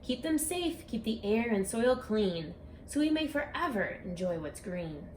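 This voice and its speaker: A woman reading a rhyming picture book aloud for children, speaking expressively and deliberately, straight to her young listeners. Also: fairly high pitch, very animated, darkish tone. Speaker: children's book author and read-aloud presenter. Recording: echoey room with faint background noise.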